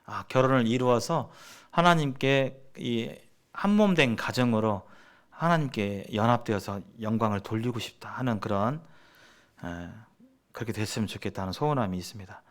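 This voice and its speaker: A man's voice: mid-range voice, animated, normal speed. Man giving a conversational church teaching. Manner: conversational and didactic, posing questions to listeners. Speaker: church seminar speaker. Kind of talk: sermon-like teaching to church members.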